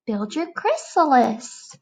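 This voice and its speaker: A woman's voice: high-pitched, sing-song, slow pace, a little mellow, breathy edge, full character voice, rough recording. A woman voicing a weary, annoyed fellow caterpillar in a storybook, curt and instructive, stretching words for emphasis. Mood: matter-of-fact.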